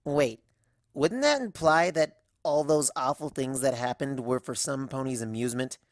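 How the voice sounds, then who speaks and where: A woman's voice: very deep voice, moderate pace, no room sound. An anxious young cartoon pony princess played by a woman, bookish and wry. She narrates her own fan fiction tale with fretful drama, in a very dry, close recording. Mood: bewildered, exasperated. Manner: wry, fretful, dramatic first-person storytelling. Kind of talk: fan fiction audio reading in character.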